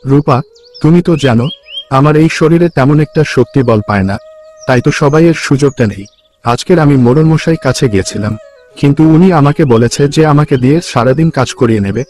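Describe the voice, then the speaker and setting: A man's voice: medium pitch, a little fast, darkish tone, soft background music, lightly acted. A man voicing a poor, weak husband seeking work in a cartoon story, speaking in a humble, earnest, pleading way. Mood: apologetic.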